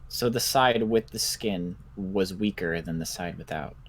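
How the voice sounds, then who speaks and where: A man roleplaying a cautious, analytical doctor in a zombie survival game, speaking in a deliberate, musing, clinical way. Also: low-pitched. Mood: thoughtful, measured. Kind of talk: improvised Minecraft roleplay.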